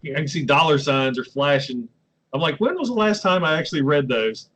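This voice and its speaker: A man from West Virginia hosts a relaxed chat show, his accent plain in every line. He sounds easygoing and folksy, laughing often, with a voice that sits a little higher than most men's. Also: brisk. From West Virginia, USA. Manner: laid-back, laughs easily, folksy. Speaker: YouTube show host. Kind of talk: casual interview chat.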